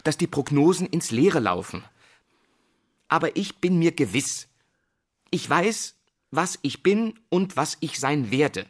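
A man's voice: a little high, sing-song, slow pace, long pauses, heavily acted. An actor playing a gifted young male teacher in a drama, defensive and brittle, clipped and proudly self-assured. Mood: insistent. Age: young adult. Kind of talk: German stage-style radio play dialogue.

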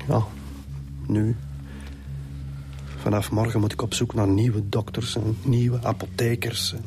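A man's voice: lowish voice, flat delivery, takes it slowly, gravelly, background noise. An actor playing a weary, defensive middle-aged Flemish father in a radio drama. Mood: bitter, resigned. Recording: music under the dialogue.

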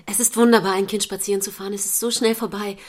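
A woman's voice: medium pitch, flat delivery, fast, a little mellow, close to an everyday voice. Earnest, precise, moralising mother, played by an actress. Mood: earnest, polite. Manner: precise, didactic, insistent. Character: earnest, cultured, moralising middle-class mother. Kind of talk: stage comedy dialogue in German audio drama.

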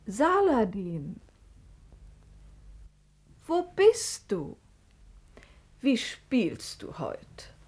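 An actress playing a clever, devoted sister of a sultan in a 1950s German radio play, with measured stage diction. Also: female voice, a little high, huge pitch swings, a little mellow, slightly rough, exaggerated voice. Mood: playful, teasing.

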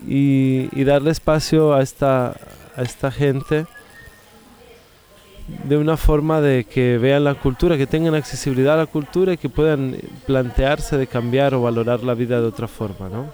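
A man with a dark, relaxed voice at medium pitch gives his opinion casually. He reflects as he goes and often checks for agreement. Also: normal speed. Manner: seeks agreement with tag questions.

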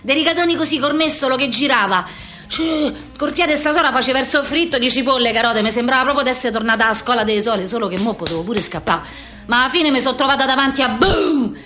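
A woman's voice: high voice, animated, fast talker, pushed and bright, faint music behind. An actress playing a brash, aggrieved Roman street girl in a theatrical monologue, with a thick slangy Roman accent and coarse, repetitive, combative talk. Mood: agitated storytelling.

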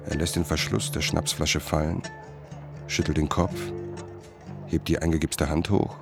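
A man's voice: a bass voice, fairly even intonation, unhurried, gravelly, over music, exaggerated voice. An actor playing a laconic, melancholy man who narrates tersely and matter-of-factly in a radio play. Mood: detached. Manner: terse, plain, matter-of-fact.

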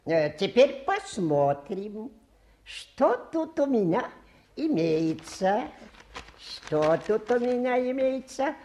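A touchy old-fashioned showman fakir in a radio drama. His pitch swoops widely as he boasts in fussy, halting, grandiose phrases. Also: sing-song, a little mellow, full character voice. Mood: fussy, boastful. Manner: pompous, halting, fussy theatrical patter. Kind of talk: radio play acting.

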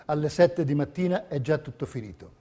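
A man narrating a documentary theatre piece, reading a script in measured, carefully separated phrases. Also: on the high side, lively intonation, mellow.

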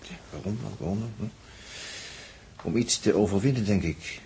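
A man's voice: on the low side, a little slow. A male actor playing a reflective spaceship crewman in a 1960s radio drama, musing and searching for words with questioning tags. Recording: noisy vintage 1960s radio recording.

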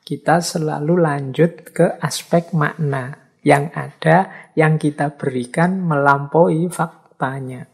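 A man with a slightly hoarse voice, giving a relaxed philosophy lecture and acting out everyday reactions. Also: on the high side.

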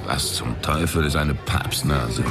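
An actor playing a wry, sardonic New York amateur detective in a German radio crime drama, with a deadpan delivery. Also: male voice, rumbling low, unhurried. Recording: music behind the voice.

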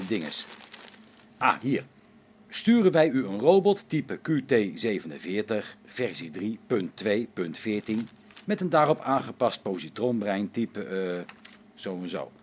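A man's voice: lowish voice, a little mellow, a little hiss or hum. A man playing a grumbling, sarcastic space-station technician in a radio play, complaining with exasperation. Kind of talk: Dutch radio play acting.

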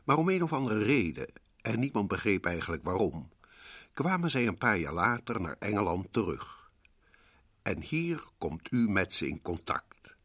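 Husky, low, sinister storyteller. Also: male voice, big pitch swings, normal speed, fairly bright tone, full character voice. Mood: storytelling. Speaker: host and narrator of a suspense radio series. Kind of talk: dramatic radio horror narration. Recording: old radio drama recording.

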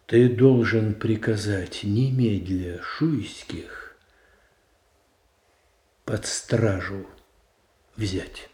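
An actor playing a stern, calculating royal adviser in a radio stage tragedy, with measured, formal delivery. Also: male voice, on the low side, a little mellow. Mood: grave insistence. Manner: measured, formal, weighty declamation. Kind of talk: classical Russian stage tragedy in radio adaptation. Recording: roomy, echoing stage sound.

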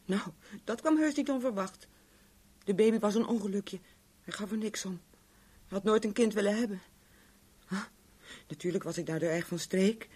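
A woman's voice: medium pitch, normal speed, long pauses, a little mellow, husky, close to an everyday voice. An actress playing a cheeky, affectionate young mother in a Dutch radio play, playful and frank. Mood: frank, casual.

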